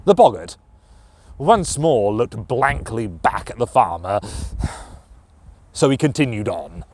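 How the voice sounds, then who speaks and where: A man narrating a children's fairytale as a storyteller, with theatrical, deliberate phrasing. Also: mid-range voice, big pitch swings, slight background noise.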